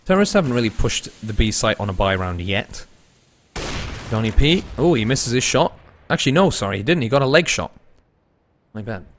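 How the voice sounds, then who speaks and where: A young man casting an esports match, laid-back and tired between plays, rising to fast, excited calls when the action heats up. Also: mid-range voice, slight background noise. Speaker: esports caster. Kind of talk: live esports commentary mixed with chatting to viewers.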